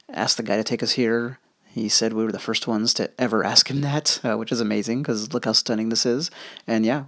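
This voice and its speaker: A lower-pitched man with a gravelly edge and a bright, forward tone narrates in a relaxed, conversational way. He leans on fillers and casual asides, and the voice-over sounds dry and close. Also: no room sound. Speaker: history video host. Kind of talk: casual narrated travel commentary. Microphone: voice-over recorded separately.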